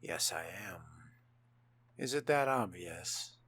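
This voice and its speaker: A man voicing a composed, haughty young vampire in a role-playing game, speaking formally and deliberately with wry theatricality. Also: sits low, expressive, a bit bright, husky, full character voice. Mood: cool, probing. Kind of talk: in-character gameplay narration.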